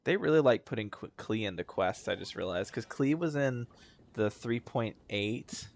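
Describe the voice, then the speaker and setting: A man's voice: on the low side, animated, moderate pace, gravelly, slight background noise. A man casually commenting over a video game he is playing, bored and sarcastic, dismissive of what is on screen. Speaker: gaming streamer. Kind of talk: casual let's-play commentary.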